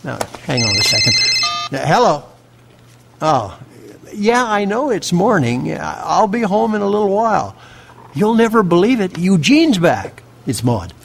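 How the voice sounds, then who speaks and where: An excitable husband from a family radio drama. His high, husky voice swings up and down as he chatters in a flustered, folksy way, stopping and starting. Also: measured pace, heavily acted. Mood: excited, flustered. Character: excitable, folksy husband on a morning phone call. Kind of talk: family audio drama acting.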